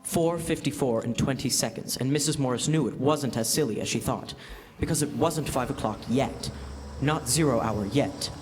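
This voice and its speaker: A young man giving measured, suspenseful scripted narration in classic radio drama style. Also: medium pitch, a little hiss or hum. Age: young adult. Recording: echoey stage hall.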